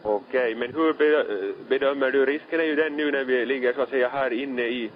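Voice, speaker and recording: Male ship officer, mid-pitched voice, dull marine radio transmission. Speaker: ship officer at a rescue scene. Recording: muffled, band-limited marine radio transmission.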